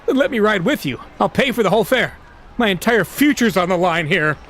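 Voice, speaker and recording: A male narrator voicing a desperate young man begging for a taxi, with a shrill, pleading voice. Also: expressive, fairly quick, fairly bright tone.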